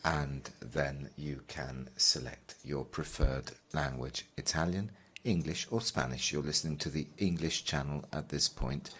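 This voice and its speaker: A man working as a simultaneous interpreter, relaying a panel talk in a measured way, pausing with fillers as he keeps up. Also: rumbling low, a bit bright, slightly rough. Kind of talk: live conference interpretation.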